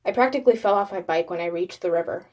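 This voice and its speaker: A woman's voice: lowish voice, fast, a little mellow. A woman reading a first-person horror story as a dramatic scripted narration.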